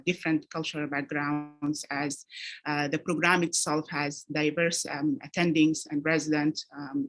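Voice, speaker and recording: A woman from Sudan, answering practice interview questions as a physician over a video call, measured and full of ums and uhs. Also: deep voice, fairly bright tone.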